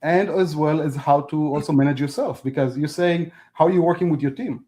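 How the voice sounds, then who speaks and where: A man whose first language is Hebrew, a guest talking casually in an interview, halting and restarting as he speaks in non-native phrasing. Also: on the high side, dark tone. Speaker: podcast guest.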